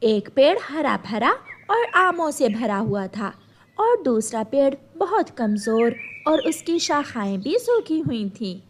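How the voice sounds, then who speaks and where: Lady telling a kids cartoon story, high lively voice, takes it slow, faint noise. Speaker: narrator. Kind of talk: scripted children's cartoon storytelling.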